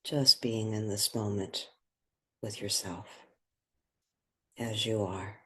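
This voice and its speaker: A person guiding a meditation in a soft, breathy voice, speaking gently and soothingly with unhurried pauses. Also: medium pitch, fairly even intonation. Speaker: meditation guide. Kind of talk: guided meditation.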